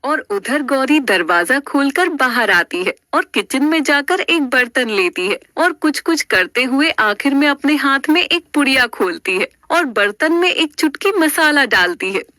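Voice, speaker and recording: High, bright woman telling a story. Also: animated, measured pace, few pauses, no echo. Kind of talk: dramatic scripted story narration.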